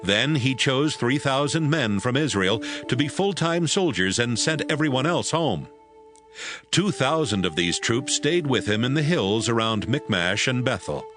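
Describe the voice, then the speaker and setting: A man's voice: mid-range voice, lively intonation, measured pace, fairly bright tone. A man narrating scripture from a script, as the narrator of an audio drama. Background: music.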